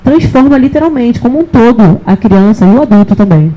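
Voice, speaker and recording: A woman's voice: fairly high pitch, animated, fairly quick, dull audio, a little hiss or hum. A woman who runs a jiu-jitsu project, telling a story on an indoor event stage. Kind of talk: panel discussion.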